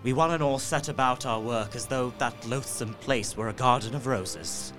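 An actor playing an earnest young gentleman who narrates his horror journal in an audio drama, in a measured, literary and haunted manner. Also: medium pitch, faint music behind, lightly acted. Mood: haunted, uneasy.

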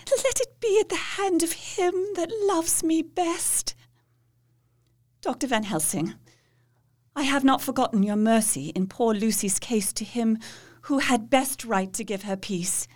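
A woman playing Mina, a devoted young wife, speaking with slow, solemn, pleading resolve in a radio play. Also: on the high side. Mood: tender.

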